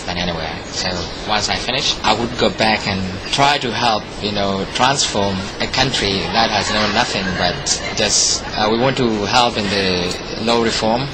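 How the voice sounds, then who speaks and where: A young man from Sudan, Dinka his mother tongue, speaks outdoors over loud, constant traffic noise. His voice sits in an ordinary middle pitch, and he talks in a thoughtful way with fillers and restarts, lifting with passion when he argues his point. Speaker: law student. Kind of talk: personal account to a reporter.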